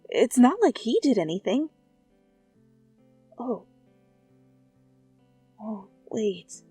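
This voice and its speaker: A woman narrating a second-person fan fiction story in a playful, conversational way, with animated asides. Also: fairly high pitch, slightly rough, no echo. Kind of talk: expressive second-person story narration, ASMR fanfic reading.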